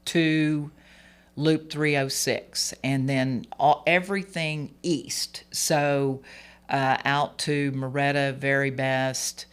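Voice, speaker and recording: Hoarse candidate, rambling gently. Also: mid-range voice, little pitch movement, slow. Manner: rambling, many fillers and restarts, earnest. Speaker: political candidate. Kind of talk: radio interview guest.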